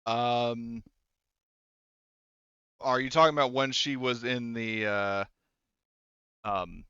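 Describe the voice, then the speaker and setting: A man narrating a tabletop game as game master in a casual, halting, sometimes profane way. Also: medium pitch, fairly even intonation, bright, forceful tone, smooth, no echo, dull audio.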